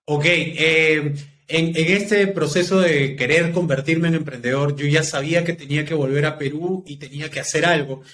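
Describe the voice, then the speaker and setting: Man in his thirties, higher voice. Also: a bit bright. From Peru. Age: 30s. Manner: reflective, talks in long unhurried stretches. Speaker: podcast guest, entrepreneur. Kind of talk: casual interview storytelling.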